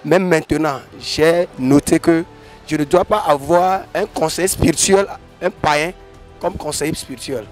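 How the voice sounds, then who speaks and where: A middle-aged man gives a testimony to an interviewer's handheld mic. His voice is fairly high for a man. Music plays faintly underneath. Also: unhurried. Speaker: convention attendee. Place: large open hall. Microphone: handheld interview microphone.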